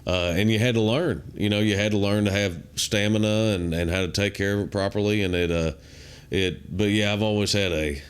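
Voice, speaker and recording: Deep-voiced young man, laid-back. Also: steady tone, fairly quick, clean tone. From Kentucky. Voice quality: naturally deep voice. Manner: laid-back, drawling, many fillers like "man" and "uh". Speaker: country singer, guest. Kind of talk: casual interview chat.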